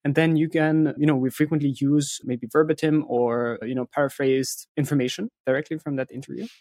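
A man's voice: fairly high pitch, fairly even intonation, a little fast, darkish tone, no room sound. A man speaking as an interview guest, explaining things conversationally and often checking in with short tag questions. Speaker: interview guest, content marketing firm founder.

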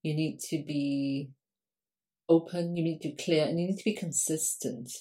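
A woman from Singapore, a guest academic, talks through her answers in a relaxed, conversational podcast interview. Her voice sits low, and she fills pauses with ums as she thinks aloud. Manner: frequent ums, thoughtful and conversational.

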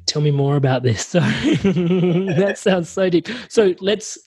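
A man hosting a podcast interview, excitable and loose, chatting with lots of fillers. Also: sits high, measured pace, slightly rough, dry recording, studio quality. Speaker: podcast host.

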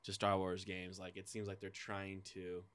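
A man's voice: sits low, monotone, moderate pace, a bit bright, clear, resonant tone. A man co-hosting a casual gaming talk in an informal, conversational way. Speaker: podcast co-host.

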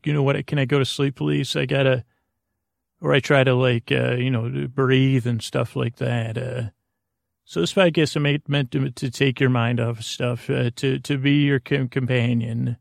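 Creaky-voiced man rambling to lull listeners. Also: medium pitch, steady tone, no room sound, clean studio sound. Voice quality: creaky, lulling tone. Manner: halting, digressive, self-interrupting. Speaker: host of a bedtime sleep podcast. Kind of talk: rambling, meandering bedtime monologue.

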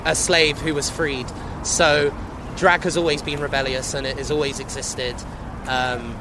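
A young drag performer chatting casually in a street interview, playful and full of fillers, outdoors on a seafront street. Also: male voice, mid-range voice, flat delivery, unhurried. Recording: busy outdoor street noise.

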